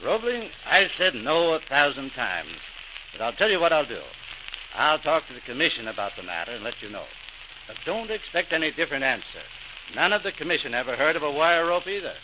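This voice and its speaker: Brusque skeptical official, noisy radio play. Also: male voice, on the high side, big pitch swings, fairly quick. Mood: dismissive, exasperated. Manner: blunt, dismissive, clipped. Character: Mr. Wyatt, brusque, skeptical male canal official. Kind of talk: 1940s radio drama acting. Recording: noisy old-time radio recording.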